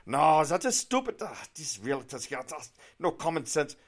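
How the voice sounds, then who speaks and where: A man's voice: fairly high pitch, very animated, normal speed, breathy edge, pitched above the performer's own voice. A man voicing an older woman realtor, friendly but indignant, speaking in emphatic, repetitive exclamations.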